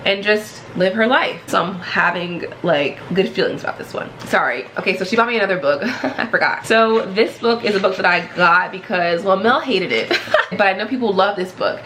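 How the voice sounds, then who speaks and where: A woman's voice: mid-range voice, normal speed, a bit bright, a little hiss or hum. A young woman with a stuffy nose, chatting effusively through a book haul and laughing often. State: stuffy from a cold. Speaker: book vlogger. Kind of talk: casual book haul chat.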